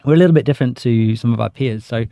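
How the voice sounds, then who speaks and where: A man's voice: medium pitch, very fast, gravelly, mellow, dry recording. A man explaining a product conversationally as an interview guest, with enthusiasm and everyday examples. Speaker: interview guest, sales director.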